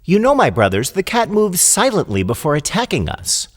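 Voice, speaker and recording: A man voicing a very young, earnest and confident little mouse in an animated fable, speaking eagerly and emphatically. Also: sits high, moderate pace, husky, big-room sound, pitched above the performer's own voice, heavily acted. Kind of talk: animated fable character voice in storybook narration.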